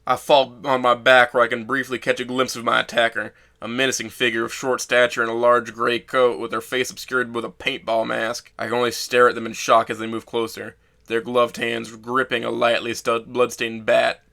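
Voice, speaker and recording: A man's voice: mid-range voice, completely flat delivery, moderate pace, gravelly, sharp tone. A man playing a bitter, self-loathing young man who narrates his own story in a sardonic, brooding way for an audio drama. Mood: pained, cynical.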